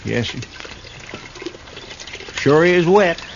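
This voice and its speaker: An actor playing a loyal, anxious, folksy sidekick in a 1950s radio western, with an American accent and a deferential, plaintive manner. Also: male voice, a little high, expressive, very slow, gravelly, heavily acted. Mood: uneasy, plaintive. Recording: very noisy old radio recording.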